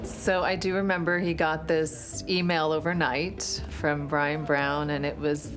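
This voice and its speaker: A woman's voice: sits low, little pitch movement, a little slow, music in the background. A woman giving personal recollections in a documentary interview.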